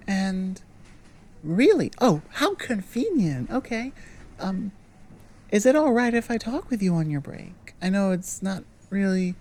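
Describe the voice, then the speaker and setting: Slow-talking, shy goblin girl, acted by a woman. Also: mid-range voice, dark tone. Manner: hesitant, halting, rambling and apologetic. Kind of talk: ASMR audio roleplay, intimate one-sided conversation.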